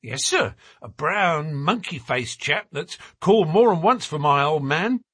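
A man's voice: fairly high pitch, animated, a little slow, a bit bright, slightly rough, dry recording, studio quality, exaggerated voice. A man voicing a stout working-class wife in an audiobook, garrulous, anxious and scolding, with colloquial, deferential talk. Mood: worried. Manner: chatty and colloquial, deferential with frequent "sir". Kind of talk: audiobook character voice.

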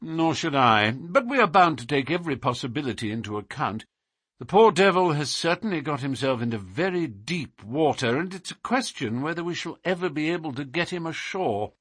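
Storybook gentleman sleuth, voiced by a man. Husky, precise, philosophical, self-assured, highly melodic delivery. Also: sing-song, moderate pace, dry recording, full character voice. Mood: animated. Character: brilliant, musing, self-assured gentleman detective.

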